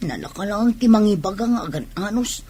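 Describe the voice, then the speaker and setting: Husky young Filipino wife, sulky. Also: female voice, medium pitch, expressive, a little fast, darkish tone. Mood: complaining, irritable. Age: young adult. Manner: complaining, sulky. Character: unhappy, irritable young pregnant wife. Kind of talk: Ilocano radio drama acting.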